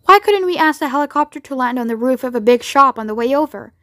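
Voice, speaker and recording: A woman's voice: sits high, lively intonation, quick pace, a bit bright, no room sound, clean studio sound. A woman voicing an earnest, practical young boy in a children's storybook, speaking straightforwardly. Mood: helpful. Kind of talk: children's storybook read aloud.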